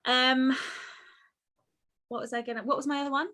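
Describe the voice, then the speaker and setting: A woman co-hosting a podcast in casual chat, animated and laughing often, rambling with fillers, her voice running lower than usual this week. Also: moderate pace. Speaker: podcast co-host.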